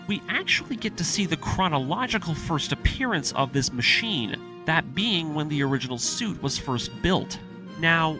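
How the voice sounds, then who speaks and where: An excitable man with a fairly high voice narrates a fan video essay over music. His delivery is informal and emphatic, swinging widely in pitch like an eager storyteller. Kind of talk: enthusiastic video essay commentary on anime story. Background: music under the voice.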